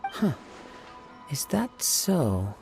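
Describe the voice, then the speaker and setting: An actor playing a calm, courteous young investigator in a video game, polite and considered in speech. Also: male voice, on the high side, extremely slow, full character voice. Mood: reflective. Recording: music clearly audible behind.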